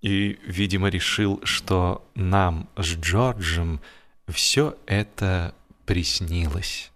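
A man's voice: low-pitched, very slow, fairly bright tone. Theatrical male narrator of humorous fiction. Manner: theatrical, stretches key words for emphasis. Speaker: audiobook narrator. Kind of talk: dramatised humorous literary narration.

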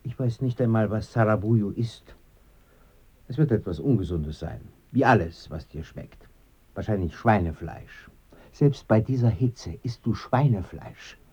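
Low-voiced, earnest, troubled visitor. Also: male voice, animated, a little slow, dark tone, husky, lo-fi sound. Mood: dry, wry. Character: earnest, troubled man visiting his dead friend for answers. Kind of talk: German radio play dialogue.

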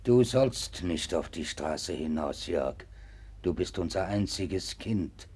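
A dutiful, humble father in a 1960s German radio drama. His voice is low, mellow and husky. He speaks plainly and gravely, with a subdued seriousness. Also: animated, slow, full character voice. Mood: grave, protective. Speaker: actor in a radio play.

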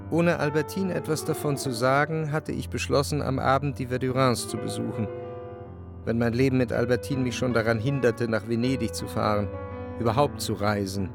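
Male actor as introspective gentleman narrator, melancholy, possessive, measured, medium-pitched, articulate, literary radio drama, music behind. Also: fairly even intonation, gravelly, close to an everyday voice. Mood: brooding. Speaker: first-person narrator.

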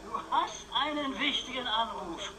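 A man's voice: extremely high pitch, measured pace, music in the background, rough recording. A male actor playing an eccentric old uncle in a radio play, theatrical, rambling and needling, styling himself a dangerous psychopath. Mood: dramatic, excited. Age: older.

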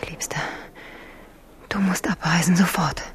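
An actress playing a tender, secretive royal bride in love in a German radio drama, breathy and intimate in manner. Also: female voice, lowish voice, steady tone, measured pace, noisy background, close to an everyday voice. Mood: urgent, fearful.

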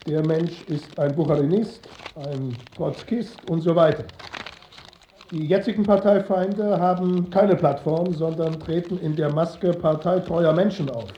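An actor in a German radio play voicing a stern Soviet party ideologue, didactic and accusatory. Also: male voice, on the high side, dark tone, natural voice. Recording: muffled, noisy, echoing archive-like sound.

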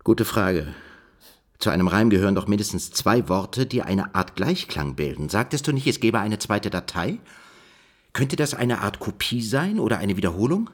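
An actor playing a pensive, erudite code-breaker in a radio play, musing and questioning in a husky voice. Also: male voice, on the low side, big pitch swings, moderate pace. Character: pensive male code-breaker puzzling over word riddles. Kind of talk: German radio play dialogue.

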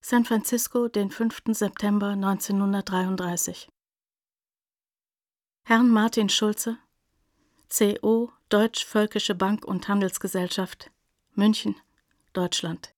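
A woman reading as a formal, detached announcer in a scripted radio play, with deliberate phrasing. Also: medium pitch, steady tone, no room sound, clean studio sound, natural voice. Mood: neutral, detached. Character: formal female reader of addresses and dates. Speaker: announcer of letter headings.

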